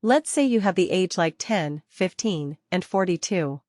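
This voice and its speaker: A woman with a slightly low voice leads a software training lesson. She explains things in small steps, restates key points and keeps putting questions to her learners. The sound is dry, with very little room in it. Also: expressive, unhurried, no echo. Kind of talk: interactive step-by-step teaching.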